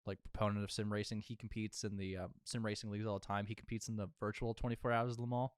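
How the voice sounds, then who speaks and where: Male podcast co-host, low and resonant, dry sound, informal and knowledgeable. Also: level tone, fast talker, clean tone, no room sound. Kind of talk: casual film podcast chat.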